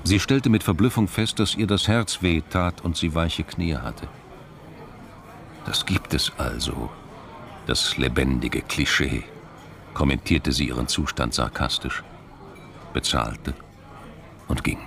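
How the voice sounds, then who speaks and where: Very deep-voiced male radio drama narrator. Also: slow, gravelly, long pauses, a crowd in the background. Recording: music behind the voice. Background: music.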